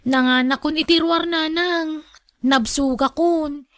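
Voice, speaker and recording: A woman's voice: high-pitched, fairly even intonation, takes it slowly, no echo, studio quality. A woman playing an obedient young student daughter with an Ilocano accent in a radio drama, speaking deferentially. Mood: dutiful.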